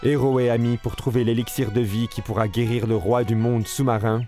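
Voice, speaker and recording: A man's voice: medium pitch, fairly even intonation, a little fast, dark tone, soft background music, natural voice. A man narrating a French children's fairy-tale cartoon in a scripted storybook style.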